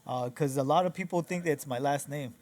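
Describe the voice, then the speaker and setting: Young man, native Spanish speaker from northern Mexico, relaxed rambling interview guest, slightly high pitch. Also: fairly quick. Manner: rambling with many restarts and fillers, says dude and bro. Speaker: barbershop founder, guest. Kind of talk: casual conversation. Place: barbershop lounge.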